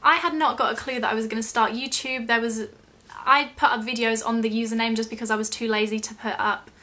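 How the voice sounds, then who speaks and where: A woman's voice: a little high, steady tone, quick pace, bright, forceful tone. A young British woman chatting casually in a question-and-answer vlog, rambling a little and self-deprecating. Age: young adult. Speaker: YouTube vlogger.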